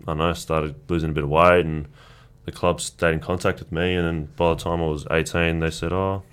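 A young Australian man whose first language is Croatian, telling stories in a relaxed, rambling way as a guest in a casual chat. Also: low-pitched, brisk, clean tone. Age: young adult. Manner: relaxed, rambling storytelling with restarts. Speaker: guest athlete.

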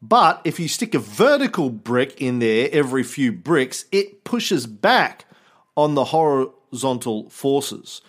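A man's voice: medium pitch, very animated, takes it slowly. A man hosting a podcast in casual chat, joking around and swearing freely. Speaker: podcast host.

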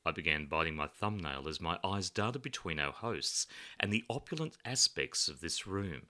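Male author reading his novel, deep voice, highly animated first-person narration. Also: moderate pace.

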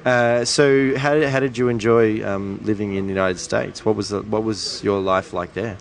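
A man's voice: fairly low pitch, steady tone, moderate pace, vocal fry. A man interviewing a guest in a casual chat, talkative and hedging with fillers.

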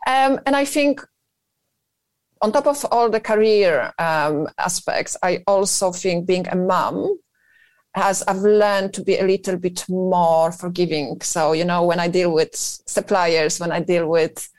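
A woman's voice: on the low side, a little slow, a little mellow, no room sound. A woman with a Polish accent, a business founder talking at length as a radio interview guest and leaning often on "you know". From Poland. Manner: talkative, thinks aloud with many "you know" fillers.

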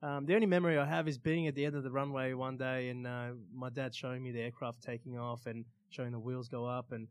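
Male Australian storyteller, conversational, average pitch, unhurried hesitations between phrases, dry room sound. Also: level tone, no echo. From Australia, born in Iran. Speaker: interview guest, stunt pilot and motivational speaker. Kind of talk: casual storytelling in an interview.

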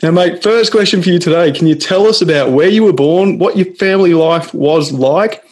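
A man hosting a podcast, reading a scripted intro slowly, then asking casual interview questions. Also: sits high. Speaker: podcast host.

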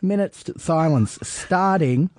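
A man playing a cocky, loudmouthed wrestling persona on a podcast, boasting and calling people son. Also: a little high, unhurried, lightly acted. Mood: boastful. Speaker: wrestler guest.

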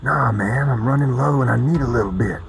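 A man's voice: mid-range voice, a little fast, creaky, a little hiss or hum, natural voice. A man playing a reckless, foul-mouthed stoner in an audio drama, talking in loose slang. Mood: cautious. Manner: slangy, sweary, colloquial. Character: reckless, foul-mouthed redheaded stoner guy stuck in quarantine. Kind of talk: audio drama dialogue over hand-held radio.

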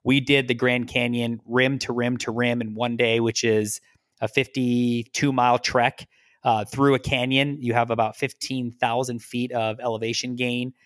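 A middle-aged man from the United States, a lawyer, telling his story as a podcast guest in a relaxed, rambling way with repeated words. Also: mid-range voice, normal speed, no room sound, clean studio sound. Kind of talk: casual interview storytelling.

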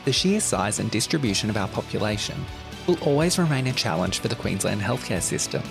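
Male narrator for a scripted promo, mid pitch, expressive with fry, guitar music clearly underneath. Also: fairly quick.

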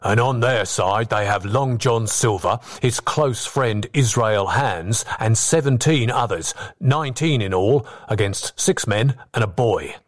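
A man voicing a stern, wary ship's captain who speaks in a measured, formal, commanding way. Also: on the low side, close to an everyday voice. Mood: resolute.

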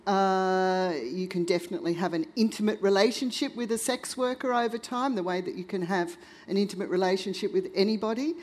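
A woman's voice: mid-range voice, fairly even intonation, a little fast, hardly any pauses, a little mellow. A woman speaking on a discussion panel, frank and conversational, checking in with her listeners.

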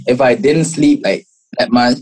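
Mellow, husky young Malaysian man. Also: mid-range voice, a little slow. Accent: Malaysian English. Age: 20s. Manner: relaxed, slangy, says "bro" a lot. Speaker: musician guest. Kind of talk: casual interview chat.

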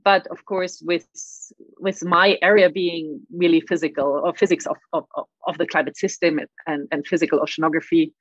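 A woman's voice: lowish voice, steady tone, moderate pace, no room sound, highs cut off. A middle-aged woman with a German accent, answering interview questions with frequent stammered repeats and light laughs. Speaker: guest, climate scientist.

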